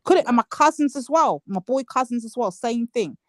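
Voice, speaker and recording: A woman hosting a casual live panel chat, animated and quick, swearing playfully. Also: a little high, dry recording, studio quality. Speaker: show host.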